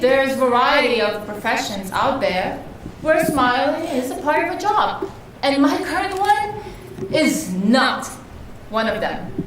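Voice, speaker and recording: A woman's voice: sits high, a bit bright, full character voice. A woman performing a stage monologue as an exasperated working woman fed up with being told to smile, indignant and emphatic in a theatrical way. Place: indoor live performance space. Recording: roomy echoing hall, distant mic, faint noise.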